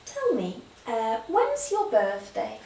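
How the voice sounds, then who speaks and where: A woman with a high, very lively voice runs a spoken English exam, giving clear, measured prompts at an unhurried pace. Her voice sounds roomy and echoing, as if the mic sits some distance away. Faint background noise is heard under the talk. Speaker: exam interviewer.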